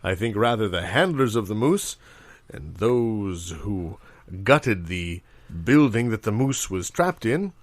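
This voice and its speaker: Male, actor as self-important florid doctor, lowish voice, swooping emphasis, improv audio comedy. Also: sing-song, takes it slowly, dry recording. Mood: defensive, dignified. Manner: pompous, florid, alliterative. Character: pompous, long-winded male honorary doctor and moose-obsessed minister.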